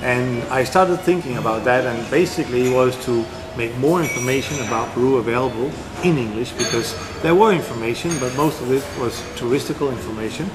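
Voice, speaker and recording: A man from Denmark tells his story in a relaxed interview, pausing often for fillers and restarts. His voice sits at an ordinary middle pitch. He talks in a loose, unscripted way and circles back to finish his points. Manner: rambling, with many fillers and restarts. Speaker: interview guest. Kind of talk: casual interview answers.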